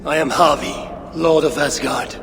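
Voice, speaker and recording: A man playing a proud, commanding Norse warrior god in a video game, with gravelly, solemn and imperious speech. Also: a little high, fairly even intonation, moderate pace, crowd noise. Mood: stern, commanding. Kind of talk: dramatic video game acting. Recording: reverberant, noisy game cutscene ambience.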